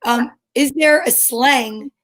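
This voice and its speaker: A woman's voice: high voice, takes it slowly, fairly bright tone. A woman hosting a children's show, chatting casually with an informal, curious manner. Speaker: host of a children's story time show. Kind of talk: casual interview chat.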